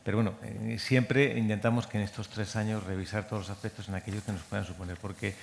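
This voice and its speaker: A man's voice: lowish voice, flat delivery, fast talker, creaky, slight background noise. A man coordinating a medical training program gives a rambling conference talk, full of restarts and repeated words. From Madrid. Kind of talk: conference speech. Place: conference hall. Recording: long hall reverb.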